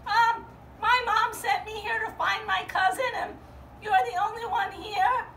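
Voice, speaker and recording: A timid little sheep girl acted by a woman bleats in a very high, squeaky voice and trips over shy ums and uhs. The sound is roomy and distant, as if recorded from across a room. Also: steady tone, a little slow, gravelly, bright, forceful tone, exaggerated voice. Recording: roomy, from far off, faint hum.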